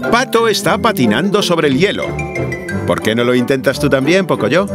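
Animated Castilian Spanish cartoon narrator. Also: male voice, on the high side, moderate pace. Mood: friendly, encouraging. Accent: Castilian Spanish from Spain. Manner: theatrical, addresses characters and viewers directly. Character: cheerful, excitable male narrator of a children's cartoon. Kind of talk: children's cartoon narration, playful sports commentary. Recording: loud music under the voice.

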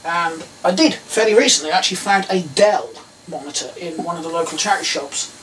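A man's voice: fairly high pitch, expressive, a little fast, fairly bright tone. A middle-aged man with an English accent gives a casual hands-on tutorial, rambling and thinking aloud in a small indoor workroom. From England. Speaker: host of a DIY electronics video. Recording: distant mic, some background noise.

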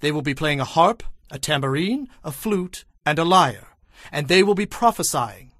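An actor playing a solemn, commanding old prophet in an audio Bible drama, declaiming deliberately. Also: male voice, on the high side, lively intonation, fairly bright tone, dry recording, clean studio sound. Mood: solemn, instructing.

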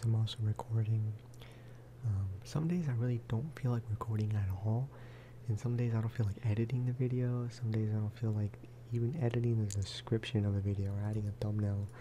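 A man talks softly through a video game in a relaxed ASMR style. His voice sits a little low and barely moves in pitch as he rambles casually. There is clear noise behind him, with clicking. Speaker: gaming video creator. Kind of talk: soft-spoken ASMR game commentary.

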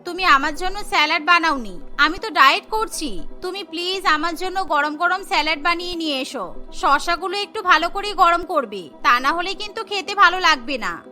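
A woman's voice: very high voice, expressive, moderate pace, pushed and bright, soft background music, heavily acted. A woman voicing a whiny, demanding young sister-in-law in a family cartoon. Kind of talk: cartoon character dialogue.

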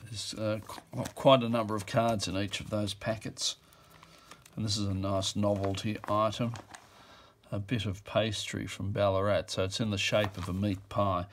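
Male auctioneer, lowish voice, offhand musing delivery, fillers and self-corrections. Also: flat delivery, normal speed, slight background noise. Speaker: auctioneer describing a lot. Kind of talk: casual off-the-cuff commentary. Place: indoors at a table.

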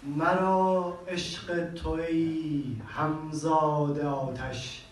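Man, middle-aged, slow measured poetry recitation, handheld mic, echoey gallery, distant pickup. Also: fairly high pitch, level tone, slight background noise. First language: Persian. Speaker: poet reading his own work. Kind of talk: live poetry reading. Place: small gallery stage with a seated audience. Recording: echoey room, heard from a distance.

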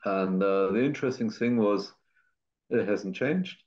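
Male artist in his fifties, German accent, fairly low voice, reflective conversation, muffled video-call sound. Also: a little slow, a little mellow. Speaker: artist, interview guest. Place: indoor art studio, video call. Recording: slightly dull video-call audio.

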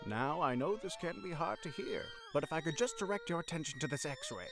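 A folksy old quack doctor in a cartoon spoof, voiced by a man. He is smug and oblivious, with a high, lively delivery over faint music. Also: a little fast. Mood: breezy. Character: quack older male doctor, breezy and tactless. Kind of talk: anime parody dub acting.

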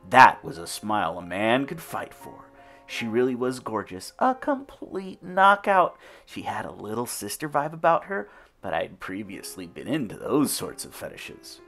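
A man's voice: medium pitch, very animated, unhurried, vocal fry, a bit bright, faint music behind. A man giving a wry, theatrical first-person reading of his own fan fiction. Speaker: narrator.

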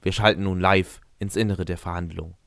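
A man's voice: low voice, steady tone, fairly quick, creaky, fairly bright tone, clear, resonant tone, no room sound, clean studio sound. A man playing a solemn, sensationalist television reporter in a parody news report for an audio comedy. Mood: grave.

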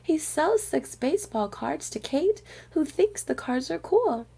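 A woman reading a picture book aloud to young children in an expressive storyteller's manner. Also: high voice, measured pace, creaky.

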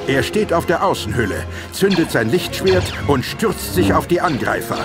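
Ein Mann liest als Erzähler den Text eines dramatischen Hörspiels. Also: male voice, fairly high pitch, sing-song, normal speed, loud music behind the voice. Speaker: narrator. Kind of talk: scripted dramatic audio drama narration.

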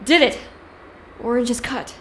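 A woman's voice: on the high side, moderate pace, noisy background, close to an everyday voice. A woman playing a seasoned, no-nonsense police officer in an audio drama, curt and dry. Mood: urgent.

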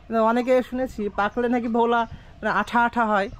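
A man's voice: extremely high pitch, little pitch movement, quick pace, fairly bright tone. A man narrating a casual village walk vlog, chatting to viewers and asking locals questions. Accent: Bengali. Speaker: travel vlogger. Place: outdoors in a village. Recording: noisy outdoor recording.

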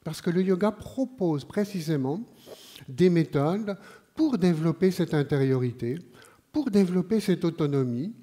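A man in his sixties from Switzerland with a Swiss French accent, giving a conference talk on a large auditorium stage with a headset mic, speaking in a measured, unhurried way with pauses and fillers. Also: a little high, expressive, mellow.

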